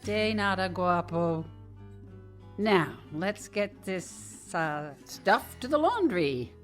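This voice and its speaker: An actress playing a kindly, wry care worker in a radio drama, reassuring and gently teasing. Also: female voice, medium pitch, lively intonation, slow pace, soft background music.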